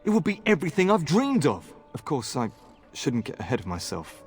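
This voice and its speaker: An actor playing an earnest, eager and courteous young knight in a fantasy video game. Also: male voice, a little high, wildly swooping pitch, fairly quick, a little mellow, slightly rough, soft background music, exaggerated voice. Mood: excited. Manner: polite, boyishly earnest.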